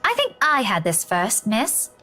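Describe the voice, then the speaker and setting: A woman's voice: fairly high pitch, wildly swooping pitch, a little slow, pushed and bright, heavily acted. An actress playing an assertive, learned young woman in a video game, speaking precisely with polite stubbornness. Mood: politely firm. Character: assertive, learned young redheaded female rival.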